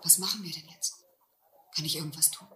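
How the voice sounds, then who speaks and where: An actress playing an anxious young woman in a sea adventure radio play, hesitant and hushed. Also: sits low, fairly quick. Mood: anxious, moved. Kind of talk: German radio play acting. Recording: roomy, faintly noisy old radio play.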